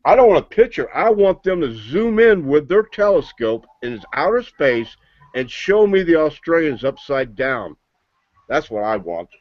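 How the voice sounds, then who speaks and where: A man's voice: a little high, big pitch swings, measured pace, dry recording, lo-fi sound. A man in a heated online voice chat, blustering, cursing and repeating himself. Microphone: computer speakers, no headset.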